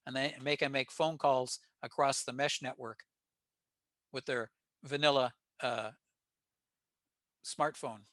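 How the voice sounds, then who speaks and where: A man's voice: mid-range voice, sharp tone, no room sound, clean studio sound. An American man giving an informal technical slide presentation to a club. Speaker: presenter at a ham radio club meeting.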